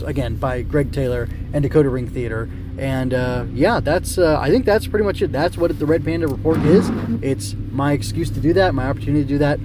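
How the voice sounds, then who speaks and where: A man's voice: mid-range voice, background noise. A man hosting a solo podcast, talking off the cuff in a rambling, self-correcting way. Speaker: podcast host. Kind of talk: unscripted solo podcast talk.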